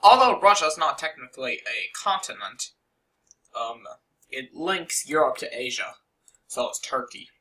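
Bright, lively rambling young man. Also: mid-range voice, unhurried, low-quality recording. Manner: rambling, lots of fillers and asides. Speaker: game tutorial creator. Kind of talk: casual screen-recorded game tutorial.

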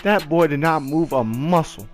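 A man's voice: on the high side, moderate pace. A young American man telling a story casually in a slangy, dramatic way, acting out moments with sound effects. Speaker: gaming video storyteller. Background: music under the voice.

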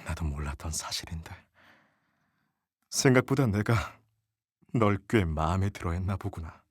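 A man playing an amused, indulgent Joseon nobleman in a historical roleplay ASMR, with archaic, gently commanding, teasing speech. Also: sits low, animated, normal speed, husky, dry recording, studio quality. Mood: touched, tender. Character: wealthy Joseon nobleman, amused, indulgent patron of a young gisaeng. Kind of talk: Korean historical roleplay ASMR audio drama. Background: traditional Korean court music.

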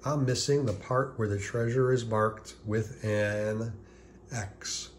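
A man's voice: fairly low pitch, steady tone, slow, slight background noise. A man voicing a young, friendly boy dragon from a children's storybook in a plain, earnest way. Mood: explaining. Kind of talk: children's storybook character reading.

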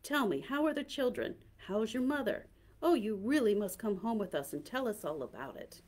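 A woman's voice: fairly high pitch, lively intonation, moderate pace, darkish tone, voice pushed up. A woman reading the part of an affectionate, confused elderly woman. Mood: tender.

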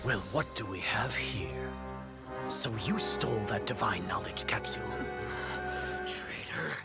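An actor playing a cold, scornful elderly scholar villain in a video game, pompous and commanding. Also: male voice, on the low side, lively intonation, a little slow, gravelly. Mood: accusing. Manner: imperious, pompous. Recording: loud game music over voice.

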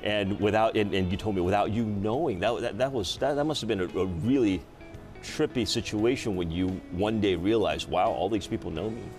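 A man's voice: lowish voice, a little fast, a little mellow, faint music behind. A middle-aged man hosting a late-night talk show in an effusive way, often repeating and restarting his phrases. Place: TV studio.

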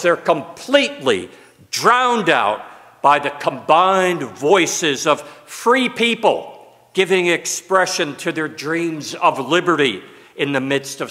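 An older American man, a pastor, preaching a sermon from a pulpit with broad gestures. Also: a little high. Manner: slow, expressive preaching with broad hand gestures. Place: church sanctuary, at a pulpit. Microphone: pulpit mic. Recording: long church reverb.

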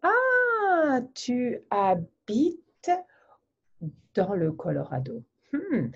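A middle-aged woman speaks on a video call from her home office, drawing out each question slowly and dramatically. Her pitch leaps up and down with great energy, and her voice sits a little high for a woman. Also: wildly swooping pitch, gravelly, muffled recording. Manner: theatrical, exaggerated questions, gestures broadly. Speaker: host interviewing a colleague. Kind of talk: slow, simple French for learners. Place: home office, indoors, virtual background. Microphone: webcam on a video call.

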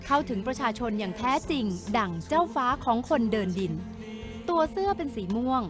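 High-voiced woman news presenter. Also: lively intonation, fairly quick, over music. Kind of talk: scripted promotional news narration.

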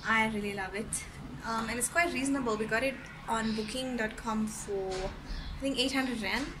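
A woman's voice: a little high, normal speed, background noise. A young South African woman vlogging casually on a handheld phone, bubbly and chatty, often laughing. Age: young adult. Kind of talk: casual vlog chat. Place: restaurant, car and hotel rooms. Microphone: handheld phone camera.

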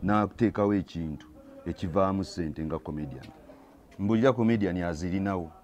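Emphatic low-voiced Ugandan man. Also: brisk, a little mellow. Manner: emphatic, lecturing, rising to heated bursts. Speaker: theatre actor interviewed. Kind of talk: street interview, mixing Luganda and English. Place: outdoors by an orange door. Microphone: handheld reporter mic.

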